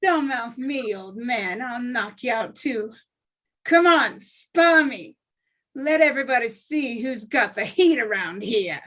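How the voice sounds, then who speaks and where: Cocky young male boxer, street slang swagger, read by a woman, high and unhurried. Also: animated, a bit bright, heavily acted. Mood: taunting. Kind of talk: live online table read.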